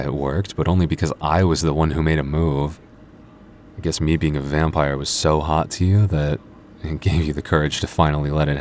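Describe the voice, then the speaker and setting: Very deep, teasing vampire boyfriend, acted by a man. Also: a little hiss or hum. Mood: teasing, amused.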